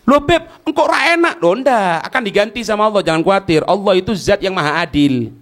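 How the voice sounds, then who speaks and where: A man's voice: sits high, lively intonation, a little fast. A man with a Javanese accent teaching religion in a colloquial, didactic way, posing questions and checking that listeners follow. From East Java, Indonesia. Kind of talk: religious lecture with question and answer.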